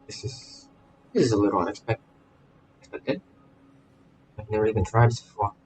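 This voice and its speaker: Low-pitched, halting male game streamer. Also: little pitch movement, a little mellow, faint music behind, rough recording. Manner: halting, repeats words. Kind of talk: reading game dialogue aloud, casual reactions.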